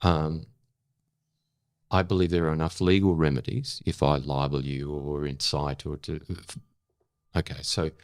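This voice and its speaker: An older man with an Australian accent, talking candidly and reflectively as an interview guest, emphatic but with halting pauses and repetitions. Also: rumbling low, little pitch movement, no room sound, studio quality. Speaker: interview guest, former journalist.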